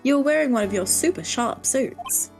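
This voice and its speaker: A woman's voice: high-pitched, expressive, normal speed, vocal fry, over music. A woman voicing a hapless, earnest young waitress in a live fan dub of a video game, chatty, hesitant and polite.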